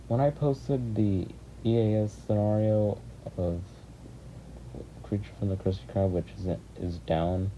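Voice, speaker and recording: A man with a deep, very mellow and subdued voice rambles casually to his viewers. He speaks haltingly and circles back over his words. The recording is dull and lo-fi, with clear noise behind him. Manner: hesitant, halting, repeating himself. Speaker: YouTube video creator. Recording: muffled lo-fi mic with clear background noise.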